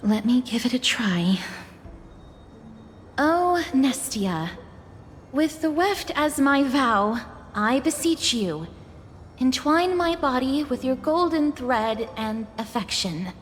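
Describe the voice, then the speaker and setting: A woman playing a gentle, solemn and polite young woman in a fantasy video game, soft-spoken and measured in a formal way. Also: high voice, animated, big-room sound, slight background noise. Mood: solemn pleading. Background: background music.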